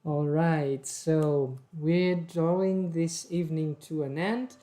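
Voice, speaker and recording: Slow, mellow woman host, roomy sound. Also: low-pitched, faint music behind. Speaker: host of online poetry reading. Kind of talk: casual hosting. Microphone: video call.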